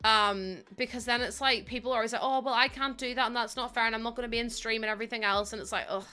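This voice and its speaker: A woman's voice: fairly high pitch, steady tone, quick pace, sharp tone, clear, resonant tone, soft background music. A young woman with a Northern Irish accent chatting casually while live-streaming, rambling and self-mocking with lots of fillers.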